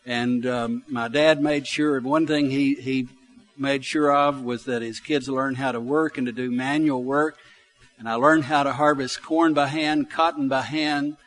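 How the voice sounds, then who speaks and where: A man's voice: mid-range voice, fairly even intonation, measured pace, a bit bright, rough recording. An older male pastor in his sixties speaks with a Southern American accent and a mid-range voice. He delivers his sermon as conversational, down-home storytelling, addressing his listeners directly and leaning on repetition for emphasis. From Alabama, United States. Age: 60s. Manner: folksy, conversational storytelling with repeated phrases for emphasis. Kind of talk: sermon with personal anecdotes.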